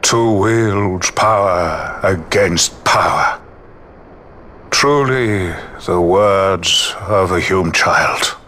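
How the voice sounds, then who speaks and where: An actor playing an ancient, serene non-human seer in a fantasy game, speaking solemnly with archaic, oracular phrasing. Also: male voice, sits low, drawn-out words, bright, forceful tone, slight background noise, heavily acted. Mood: stern, knowing. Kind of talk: dramatic video game voice acting.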